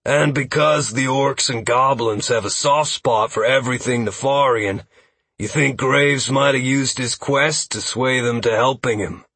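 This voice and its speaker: A man performs a measured adventurer in an audiobook. The delivery is deliberate and probing, recorded close and dry. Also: mid-range voice, a bit bright, husky, no room sound, voice pushed up. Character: measured adventurer questioning along with the group. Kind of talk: audiobook character dialogue.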